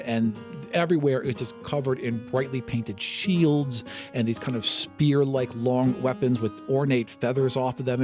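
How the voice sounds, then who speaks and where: A man's voice: mid-range voice, a little mellow. A man narrating a tabletop fantasy game as game master, in a conversational, vivid descriptive style.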